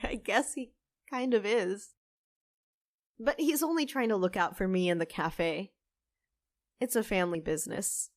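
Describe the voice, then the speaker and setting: An actress playing a kind, apologetic half-human cafe co-owner in a fiction podcast, gentle and hesitant in manner. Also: female voice, medium pitch, unhurried, long pauses, lightly acted. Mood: polite, calm.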